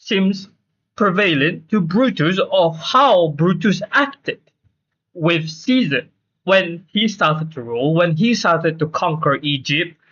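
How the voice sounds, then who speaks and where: A man doing casual live game commentary, halting and repeating himself for emphasis. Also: fairly high pitch, a bit bright. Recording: dull, lo-fi stream audio.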